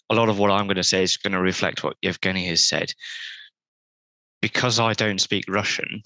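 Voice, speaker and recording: A man's voice: sits low, sharp tone, dry recording, rough recording. A man on a video call, a researcher giving an earnest, unscripted panel answer with frequent ums and restarts.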